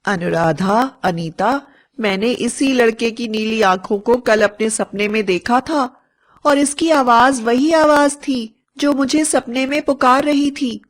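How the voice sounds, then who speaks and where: A woman voicing an uneasy, sharp-tongued young female college student who speaks earnestly and emphatically in an animated story. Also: on the high side, slow pace, pitched above the performer's own voice.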